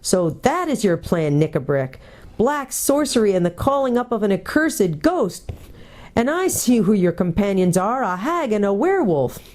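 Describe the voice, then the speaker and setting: A woman's voice: high voice, sing-song, moderate pace, dark tone, voice pushed up. A woman voicing an earnest young king in a storybook, bold, forthright and indignant. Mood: commanding anger.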